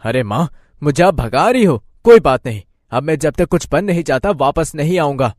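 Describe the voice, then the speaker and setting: A man's voice: a little high, lively intonation, normal speed, darkish tone, dry recording, studio quality, close to an everyday voice. A man voicing an idle, boastful and naive young village son in a cartoon moral story, whiny, self-important and given to exclaiming. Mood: sulky.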